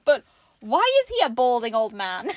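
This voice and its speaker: High-pitched, animated, giggly woman co-host. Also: moderate pace, dry recording. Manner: giggly, amused. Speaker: co-host of a craft show. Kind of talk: casual, jokey chat.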